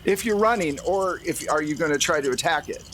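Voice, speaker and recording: Man, fairly high voice, game master, tabletop narration, faint background noise. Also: animated, fairly quick.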